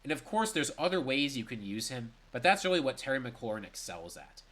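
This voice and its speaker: A man's voice: mid-range voice, very animated, fairly bright tone. A young man with a stuffy, congested voice, giving a solo reaction and analysis of sports news. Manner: brisk and analytical, with emphatic stress.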